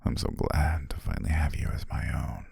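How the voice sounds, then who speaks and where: Man voicing a very deep, husky, coaxing serpent shapeshifter. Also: no room sound, studio quality, full character voice. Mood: tender, possessive, coaxing. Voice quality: very deep, husky, breathy, often whispered. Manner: slow, gentle, formal, coaxing. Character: possessive male naga shapeshifter posing as devoted, soothing servant. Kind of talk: intimate ASMR roleplay.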